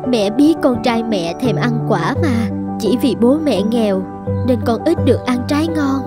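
High-voiced gentle young mother. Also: expressive, unhurried. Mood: tender. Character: gentle, self-sacrificing young peasant mother and wife. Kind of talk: Vietnamese cartoon voice acting. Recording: loud background music. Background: music.

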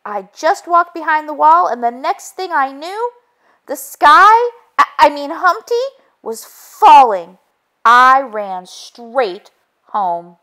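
Woman voicing a flustered, excitable storybook hen. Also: high voice, takes it slowly, voice pushed up, exaggerated voice. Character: flustered, tender-hearted female chicken, a storybook witness.

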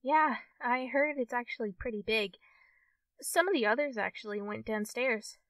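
Woman as shy, excitable fox girl for ASMR friend roleplay; halting, unhurried delivery, dry sound, slightly off-mic. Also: on the high side, no room sound, recorded from a distance, natural voice. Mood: helpful, unsure. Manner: hesitant, halting, giggly, addressing the listener as a friend. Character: friendly young fox-tailed cartoon studio girl, shy, easily excited. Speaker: roleplay performer.

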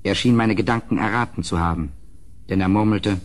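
An actor playing an uneasy gentleman who narrates a gothic radio play in a measured, ominous, slightly husky voice. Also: lowish voice, natural voice. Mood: somber, ominous.

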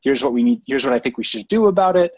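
Brief: husky-voiced man, hesitant, thoughtful interview guest over dull, dry audio. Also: high voice, very animated, fast, darkish tone, no room sound. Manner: thoughtful, many ums and restarts. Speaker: guest, former government technology leader. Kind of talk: conversational interview answers. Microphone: online call audio.

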